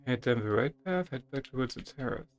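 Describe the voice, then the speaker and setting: A man's voice: mid-range voice, animated, fairly quick, soft background music. A man playing a video game, reading its text aloud dramatically with stumbles and casual commentary. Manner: theatrical, stumbles over written text. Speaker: video game player and streamer.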